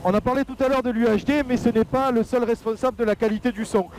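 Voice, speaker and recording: A man with a high-pitched voice presents a casual review in a chatty, joking way. He explains things as he goes, speaking into a helmet headset mic with faint motor noise behind him. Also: fairly even intonation, fast talker, darkish tone. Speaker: paramotor pilot vlogger and presenter. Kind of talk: casual product review spoken while flying. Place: outdoors, flying a paramotor. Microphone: helmet intercom headset microphone with noise reduction. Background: motor noise, muffled.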